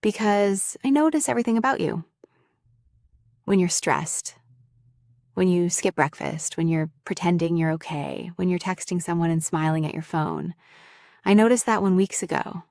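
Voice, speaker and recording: A woman playing a lovesick, jealous young roommate in an intimate ASMR roleplay, speaking haltingly and confessionally, straight to the listener. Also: fairly low pitch, darkish tone, dry recording, clean studio sound, lightly acted. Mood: hurt, probing.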